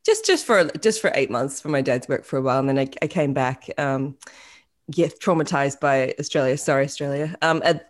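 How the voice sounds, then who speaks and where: A woman's voice: rumbling low, moderate pace. A New Zealand woman in her thirties, a musician chatting casually in an interview over a video call, self-deprecating and full of fillers. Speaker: guest musician.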